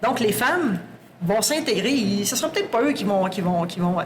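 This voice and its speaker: A woman's voice: mid-range voice, lively intonation, normal speed, slight background noise. A woman with a Québécois French accent, a guest talking candidly and at length in a casual podcast conversation, often restarting her sentences. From Quebec. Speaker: guest, sustainability executive.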